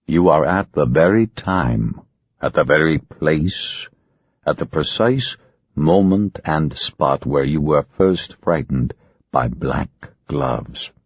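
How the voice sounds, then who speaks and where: A man playing a slow, commanding hypnotist. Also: very deep voice, animated, husky, no room sound, muffled recording, exaggerated voice. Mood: soothing. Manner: soothing, slow, insistent commands. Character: calm, commanding male hypnotist.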